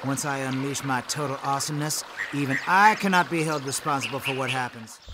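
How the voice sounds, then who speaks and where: A man voicing an excitable, boastful panda kung fu fan in an animated game, swinging between mock-epic and flustered. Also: medium pitch, a little fast, heavy background noise, lightly acted. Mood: boastful, mock-heroic. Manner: self-aggrandizing, mock-epic one moment, flustered the next.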